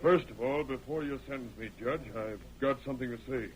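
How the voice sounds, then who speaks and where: An actor playing a wronged, defiant frontiersman on trial in an old-time radio western, indignant and forceful. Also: mid-range voice, brisk, natural voice. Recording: slightly noisy old radio recording.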